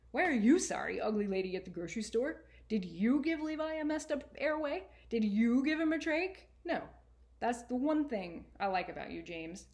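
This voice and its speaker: A woman voicing a sarcastic, earnest boy narrator in an expressive, colloquial first-person storybook reading. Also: fairly high pitch, voice pushed up. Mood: exasperated, then grudgingly grateful. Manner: colloquial, exasperated, emphatic.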